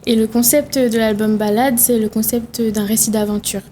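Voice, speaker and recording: A woman's voice: fairly high pitch, little pitch movement, moderate pace, dark tone, a little hiss or hum. A young woman from Réunion, a musician guest answering interview questions thoughtfully, with fillers and restarts. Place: TV studio.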